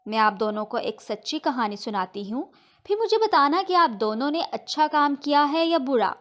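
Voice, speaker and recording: A disappointed old grandmother from a moral storybook, played by a woman narrator. She admonishes and instructs children in a raised, fairly high voice. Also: expressive, moderate pace. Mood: admonishing.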